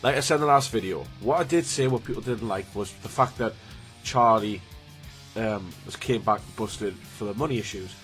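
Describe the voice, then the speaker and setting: A man's voice: on the low side, moderate pace, a bit bright, soft background music. A man, chatting casually to camera as a YouTuber, rambling and restarting, a bit snotty from a cold. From Newcastle, England. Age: 20s. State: has a cold, slightly snotty.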